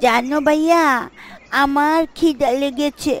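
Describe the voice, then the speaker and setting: High-pitched woman as a little village girl. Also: fairly even intonation, unhurried. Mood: hungry, impatient. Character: little village girl, hungry, affectionate daughter. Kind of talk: dubbed moral story cartoon acting.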